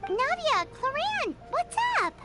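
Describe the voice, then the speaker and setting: A woman voicing a tiny, eager, curious fairy companion in a video game, with a squeaky childlike voice. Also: big pitch swings, takes it slowly, exaggerated voice. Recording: game audio under loud music.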